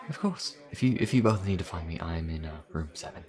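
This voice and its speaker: A man's voice: sits low, expressive, brisk, a little mellow. A man voicing a nervous, polite, bookish vampire in a fantasy audio roleplay, hesitant and stammering. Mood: timid, courteous.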